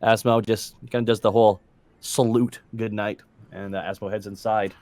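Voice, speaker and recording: A man's voice: fairly low pitch, flat delivery, normal speed, darkish tone. A man casually narrating what his character does as a player in a tabletop game.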